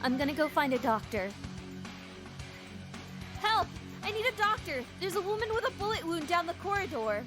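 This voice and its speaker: High-voiced, defiant young woman. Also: big pitch swings, moderate pace, pauses often, over music. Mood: brisk, then urgent. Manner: matter-of-fact, challenging. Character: bold, sharp, brisk young woman, defiant. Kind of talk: visual novel voice acting.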